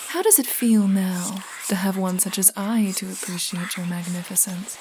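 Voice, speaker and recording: Eerie seductress for horror audio story, played by a woman: slow, whispery, possessive, theatrical; noticeable noise behind the voice. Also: mid-range voice, natural voice. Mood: seductive, mocking tenderness. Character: seductive, eerie pale island woman creature, possessive lover. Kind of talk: creepy story voice acting. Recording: clearly noisy background.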